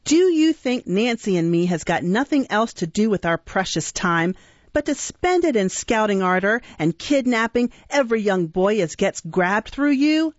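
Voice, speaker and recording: A woman reading an audiobook, voicing a brutal grown male housebreaker who speaks in a gruff, blunt, menacing way, on a dull, dry recording. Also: mid-range voice, big pitch swings, normal speed, dry recording, natural voice.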